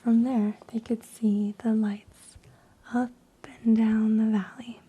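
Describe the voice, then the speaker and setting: A woman reading stories in a soft unvoiced ASMR whisper, slow and hushed with frequent ums, while losing her voice. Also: on the high side, little pitch movement, husky. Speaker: ASMR creator.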